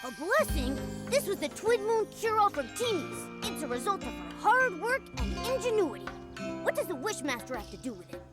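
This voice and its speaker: A sassy little creature sidekick from a video game. The voice is extremely high and leaps about in pitch, blunt and cheeky, over loud music. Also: female voice, very animated, normal speed. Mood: indignant. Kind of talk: video game character acting. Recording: music behind the voice.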